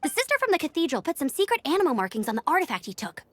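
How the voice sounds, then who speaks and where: A woman playing an earnest, upbeat young knight scout in a video game, brisk and determined in manner. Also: high-pitched, sing-song, fairly bright tone, a little hiss or hum. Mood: focused, explaining.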